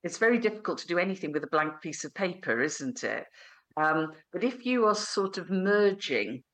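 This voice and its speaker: Low-voiced woman interviewer, reflective. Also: very animated, normal speed, a bit bright. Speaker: podcast host, interviewer. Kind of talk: conversational interview.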